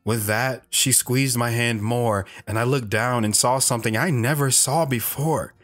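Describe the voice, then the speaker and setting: A man's voice: mid-range voice, unhurried, fairly bright tone. An actor playing a lone wanderer who recounts his romance in an earnest, hesitant, wistful way. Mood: wistful, reflective. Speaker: storytelling guest on a radio show. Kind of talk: dramatic first-person narration. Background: plucked guitar music.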